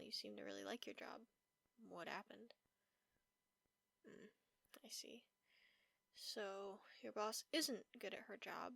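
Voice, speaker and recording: A woman playing a caring vampire roommate in a comfort audio roleplay, speaking softly, gently and reassuringly. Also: mid-range voice, vocal fry, dry recording. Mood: gentle concern. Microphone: close, dry microphone.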